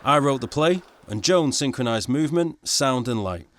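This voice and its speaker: A man's voice: medium pitch, animated, normal speed, a bit bright, lightly acted. A man acting in an audio drama as a passionate, plain-spoken working-class playwright in 1940s Britain, speaking expressively. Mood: wry.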